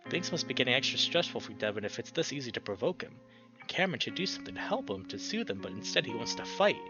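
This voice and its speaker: A man narrates a visual novel in a dramatic read-aloud style at a middling pitch. The recording is a little dull, with guitar music playing underneath. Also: sing-song, quick pace.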